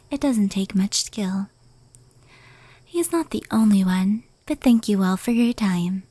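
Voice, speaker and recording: A woman voicing a proud, powerful fox yokai in a hushed, intimate ASMR roleplay, soft and breathy. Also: medium pitch, no echo. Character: proud, powerful female fox yokai, fond confidante of a human.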